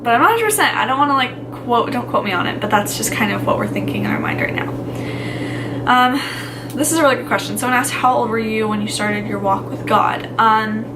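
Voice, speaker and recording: A woman's voice: fairly high pitch, big pitch swings, a little fast. Chatty young woman, noisy recording. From Texas. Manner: chatty, rambling, lots of "like" and "um". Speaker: vlogger. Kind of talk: casual Q&A chat. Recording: loud background noise.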